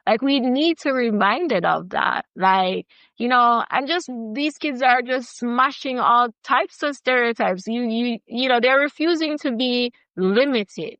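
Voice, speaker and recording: A woman's voice: a little high, unhurried, a bit bright, dry recording. A woman with a Jamaican accent, a filmmaker guest in her thirties, talking earnestly and emphatically in an interview, with lots of "like" and "you know". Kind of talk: passionate conversational interview.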